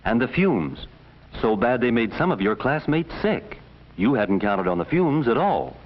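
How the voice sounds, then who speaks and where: A man narrating a 1950s educational film as a stern, measured voice reproachfully addressing schoolboys. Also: lowish voice. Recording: dull vintage 1950s film soundtrack.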